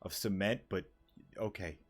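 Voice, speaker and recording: A man's voice: sits low, unhurried. A man giving casual gameplay commentary as a streamer, with wry, offhand reactions. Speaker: gaming streamer. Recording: game music behind the voice. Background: video game music.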